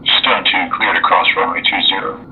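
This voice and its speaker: A man's voice: low-pitched, steady tone, normal speed. A man working as an air traffic controller in a flight game, giving radio-style instructions over a narrow radio line. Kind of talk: radio phraseology.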